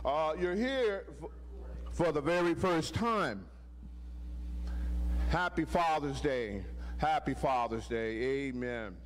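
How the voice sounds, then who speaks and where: A middle-aged man preaching a sermon from a church pulpit into a handheld mic, with a loud voice and call-and-response lines. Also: on the high side, slow pace, long pauses, a little hiss or hum. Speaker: deacon preaching. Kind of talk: sermon with sung hymn.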